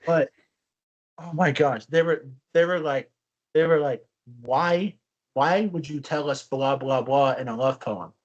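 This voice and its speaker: A young man co-hosting a casual podcast, telling stories in a rambling, animated way with frequent restarts. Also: fairly high pitch, unhurried, muffled recording. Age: young adult. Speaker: podcast co-host. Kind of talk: casual chat.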